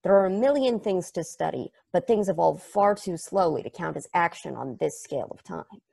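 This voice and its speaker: Woman reading as a nerdy game fan, gravelly edge, rambly and earnest, video call mic. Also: on the low side, animated, normal speed, dark tone, full character voice. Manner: earnest, rambling, self-correcting. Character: eager, nerdy fan explaining a strange game. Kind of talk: table read of a stage play.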